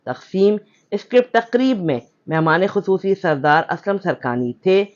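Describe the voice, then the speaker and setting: A man's voice: high-pitched, sing-song. A man reads a scripted news report in a formal announcing style. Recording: dull lo-fi voice-over.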